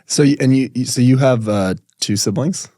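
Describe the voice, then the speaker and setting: A man's voice: mid-range voice, normal speed, dark tone, dry recording, clean studio sound. A man hosting a podcast, asking curious, casual questions and often restarting them. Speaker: podcast host.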